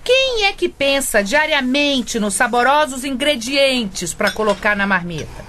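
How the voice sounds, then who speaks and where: A woman's voice: high-pitched, very animated, unhurried, bright, forceful tone, slight background noise, exaggerated voice. An actress playing a middle-aged mother in a radio soap, coaxing and scheming to get her way. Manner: coaxing, wheedling. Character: scheming mother Nélia, sweet-talking cook.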